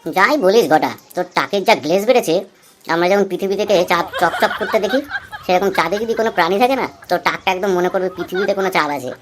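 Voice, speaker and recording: A man's voice: high voice, fast, slight background noise, lightly acted. A man playing a chatty, teasing, thrifty husband in his thirties in an amateur comedy cartoon. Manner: chatty, coaxing.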